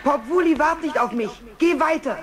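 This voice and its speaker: A woman as a high-voiced brave young lion cub. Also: normal speed, noisy background, close to an everyday voice. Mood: determined. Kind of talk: children's radio play acting.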